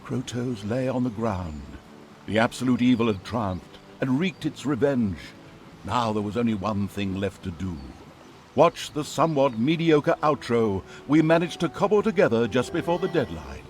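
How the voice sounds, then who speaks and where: An actor voicing a sardonic, self-mocking storybook narrator of a fantasy video game, with pompous theatrical delivery. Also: male voice, medium pitch, expressive, moderate pace, slight background noise, close to an everyday voice. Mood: wry, grandiose. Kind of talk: dramatic scripted fantasy narration with tongue in cheek.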